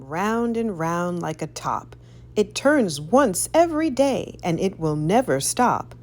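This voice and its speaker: A woman reading a rhyming picture book aloud for children in an expressive, sing-song storytelling way. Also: mid-range voice. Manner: animated, sing-song, careful storytelling delivery.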